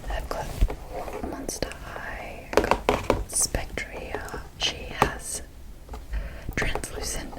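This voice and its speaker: A woman speaking in a soft, unvoiced whisper, showing items in a casual, rambling ASMR style with pauses between short phrases. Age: adult. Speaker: ASMR video host. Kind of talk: whispered ASMR, unscripted doll showcase.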